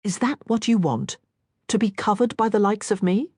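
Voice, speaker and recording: Brooding Cockney rogue, strained commanding delivery, read by a woman narrator, pitched up, dry studio read. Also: sing-song, unhurried, darkish tone, no room sound, heavily acted. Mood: taunting. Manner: strained, commanding, hoarse-edged. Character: rough, brooding gaming-club owner, adult man.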